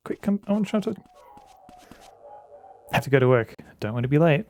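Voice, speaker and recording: A man's voice: a little high, big pitch swings, fairly quick, darkish tone. A man streaming a game and chatting casually with viewers, rambling with lots of restarts and fillers. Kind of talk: casual chat with viewers while playing a game.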